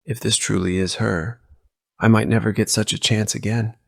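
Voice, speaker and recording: An actor playing a lovelorn office worker around thirty in a manga dub, brooding and tentative, with a gravelly voice. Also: male voice, on the low side, fairly even intonation, normal speed, a bit bright, no echo, clean studio sound. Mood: wistful, resolved. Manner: brooding, tentative self-questioning. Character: lovelorn, hesitant office worker in his late twenties. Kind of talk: anime-style dub inner monologue.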